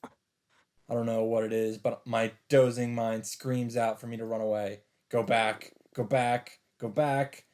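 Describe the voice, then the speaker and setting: A man's voice: mid-range voice, flat delivery, a little slow, a little mellow. A man reading a visual novel aloud with dramatic delivery as a let's player, with faint game ambience behind him.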